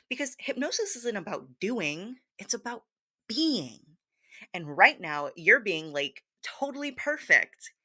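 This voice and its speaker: A woman playing a confused, ditzy, giggly hypnosis teacher in a soft roleplay, with scattered, hesitant, girlish talk full of fillers. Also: mid-range voice. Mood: soft, soothing.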